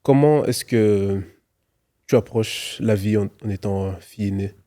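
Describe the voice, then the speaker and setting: A man hosting an interview, introducing his guest and asking questions in a calm style. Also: on the low side, unhurried, no room sound, studio quality. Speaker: host, interviewer.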